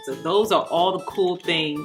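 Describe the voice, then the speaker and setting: A woman presents a casual toy review at an unhurried pace. She explains each thing simply, as if showing it to a child as well as to viewers. Clear music plays behind her voice. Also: fairly low pitch. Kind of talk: casual unboxing and toy demonstration.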